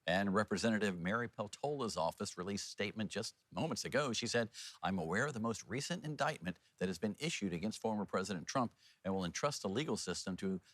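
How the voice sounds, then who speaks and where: American man, news anchor, lowish pitch, folksy and informal delivery, very dry close sound. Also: a bit bright, no room sound. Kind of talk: scripted news reading mixed with casual banter.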